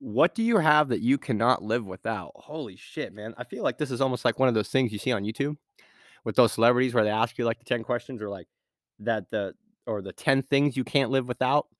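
A man's voice: medium pitch, a little fast, no echo. A man hosting his own podcast in a studio, answering questions in a casual, rambling way, his voice scratchy from a sinus infection. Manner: casual, rambling, with frequent fillers and restarts. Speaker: podcast host. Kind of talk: solo podcast Q&A.

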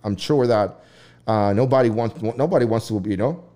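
A man's voice: lowish voice, normal speed, dark tone. A man hosting a casual debate chat, rambling with frequent restarts and swearing freely.

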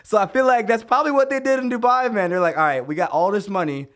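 A man hosting a casual podcast, enthusiastic and animated as he tells stories. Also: high-pitched, quick pace. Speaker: podcast host.

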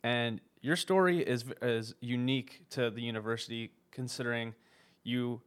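A man's voice: medium pitch, steady tone, moderate pace, clean tone. A man hosting a university sports podcast, reading a scripted intro and then asking conversational interview questions. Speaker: podcast host.

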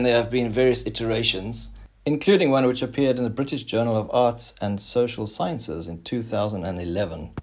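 Male podcast host, husky voice, medium pitch, narrating and interviewing. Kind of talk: scripted narration and informal interviewing.

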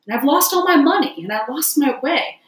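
A woman's voice: high-pitched, expressive, a little fast, pitched above the performer's own voice. Female reader as sly toad in washerwoman guise, self-important, chatty, ingratiating, storybook character, roomy echo. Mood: plaintive, ingratiating. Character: boastful male toad posing as a humble washerwoman.

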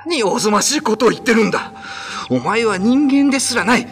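A man plays a betrayed young husband in a horror radio drama. He is accusing and scornful, with a highly animated pitch that leaps up, over clear background music. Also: fairly quick, heavily acted. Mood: furious, horrified. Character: betrayed husband, young man, furious and disgusted by monster wife.

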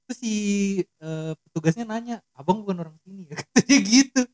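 A young man in casual banter who teases and keeps asking questions. His voice is high and he talks fast. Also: animated. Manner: teasing, asks lots of questions. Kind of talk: casual chat.

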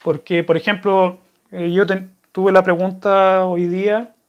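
A man's voice: sits high, level tone, unhurried, lo-fi sound. A man with a Chilean accent, chatting casually to viewers and answering their questions in a rambling, friendly way. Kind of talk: casual chat to camera.

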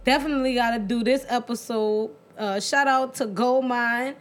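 A woman's voice: fairly high pitch, steady tone, normal speed. A woman hosting a casual chat show, playful and teasing as she hypes the episode. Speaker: podcast host.

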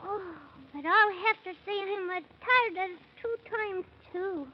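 High-pitched plucky little boy. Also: unhurried. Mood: cheerful, tiring. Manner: sing-song rhyming delivery. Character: plucky, cheerful six-year-old boy adventurer. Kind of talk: 1930s children's radio serial acting. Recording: muffled, noisy 1930s radio recording.

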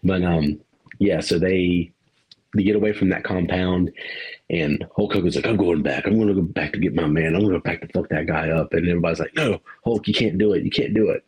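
A man's voice: low voice, a little fast, a little mellow, slightly rough, low-quality recording. A man co-hosting a podcast, rambling through a movie review in casual chat, laughing and swearing now and then. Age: adult parent. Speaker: podcast co-host.

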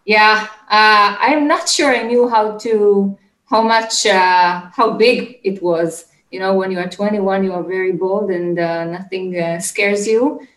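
A middle-aged woman with an Israeli accent, answering interview questions conversationally in a halting, searching way. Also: medium pitch, steady tone, fairly bright tone, roomy sound, low-quality recording. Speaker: interview guest, startup executive. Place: home office, remote call.

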